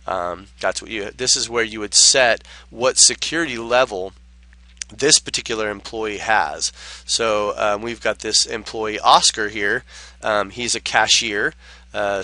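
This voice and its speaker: A man narrating an unscripted software tutorial in a halting, explanatory way, speaking close to the mic as a trainer. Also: on the low side, no echo.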